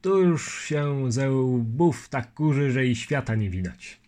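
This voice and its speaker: A man voicing a calm, matter-of-fact older military commissioner in an audiobook reading. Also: mid-range voice, slow pace. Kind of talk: audiobook character voice.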